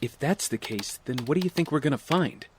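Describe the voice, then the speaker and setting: A man's voice: a little high, animated, brisk, noisy background, natural voice. Man playing serious, cautious big brother. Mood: skeptical. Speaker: voice actor in audio drama. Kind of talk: fan audio drama acting. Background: music.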